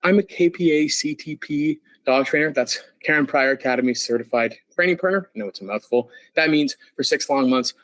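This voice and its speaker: A man on a video call presents a webinar in a chatty, self-deprecating way, stumbling over a word now and then. His voice is a little stuffy from allergies and sits at an ordinary middle pitch. The sound is dry and close, with no room echo. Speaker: dog trainer presenting a webinar.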